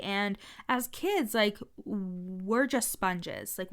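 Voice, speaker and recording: Woman, podcast host, business coach, casual monologue, blunt, swearing, stutter, smooth resonant voice. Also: medium pitch. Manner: frank and energetic, swears freely.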